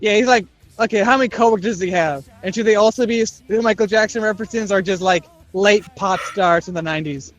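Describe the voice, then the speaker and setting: High-voiced young man joking around. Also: brisk, few pauses, a bit bright. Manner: jokey, profane, acts out bits of dialogue. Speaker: podcast co-host. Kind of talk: casual improvised fan banter.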